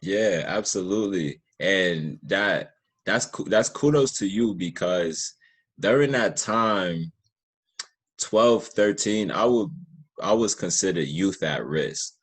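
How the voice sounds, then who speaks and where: American man, very low pitch, husky texture, encouraging podcast interviewer. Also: animated, slow, fairly bright tone. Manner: encouraging, affirming. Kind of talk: conversational interview.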